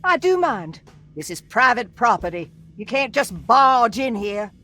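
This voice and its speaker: A stern female staffer of a private institution, officious and curt. Her pitch swings widely as she takes command in this game drama, over music. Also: big pitch swings, unhurried, pushed and bright, heavily acted. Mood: indignant, commanding. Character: stern, flustered woman guarding a private institution. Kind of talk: cinematic game voice acting.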